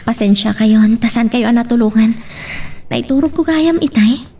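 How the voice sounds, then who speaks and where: A woman's voice: on the high side, normal speed, mellow, a little hiss or hum, natural voice. A woman with a Filipino accent playing a troubled daughter who hides her sadness, in a radio drama. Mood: sad, guarded. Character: troubled daughter hiding her tears from her father. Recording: music under the voice.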